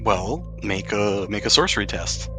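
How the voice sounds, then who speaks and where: A man's voice: on the low side, lively intonation, a little slow. A man running a tabletop role-playing game, explaining rules and scenes in detail. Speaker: game master. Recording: faint music behind the voice. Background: faint background music.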